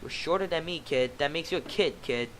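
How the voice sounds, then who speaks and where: A man puts on a rude teenage delinquent from a storybook who sneers and insults his teacher. The voice sits a bit high, with a faint hiss behind. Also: brisk, fairly bright tone, lightly acted. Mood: insolent. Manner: mouthy, insulting. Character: rude, cocky male teenage delinquent student.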